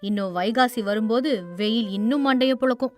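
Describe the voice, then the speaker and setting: A level-headed village wife from an animated storybook who argues her case plainly and patiently, with a voice set a little high, as a woman acts her out. She sounds earnest and practical rather than showy. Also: fairly quick, faint music behind, natural voice. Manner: earnest, persuasive, plain-spoken. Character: sensible thrifty village wife, firm and practical. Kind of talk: storybook voice acting.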